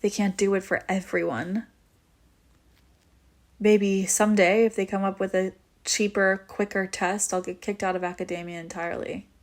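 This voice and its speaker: A woman's voice: medium pitch, little pitch movement, moderate pace, close to an everyday voice. Wry, teasing young vampire woman, acted by a woman. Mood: bitter, resigned. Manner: casual, wry, teasing, swearing freely. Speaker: audio roleplay voice actor. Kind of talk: intimate scripted audio roleplay, conversational.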